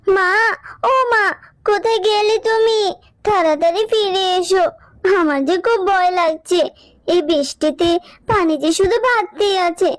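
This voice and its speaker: A woman's voice: extremely high pitch, measured pace. A woman voicing a sweet, timid little village girl in a children's cartoon, with a childlike manner. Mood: frightened, tearful. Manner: childlike, plaintive. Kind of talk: Bengali children's cartoon dub.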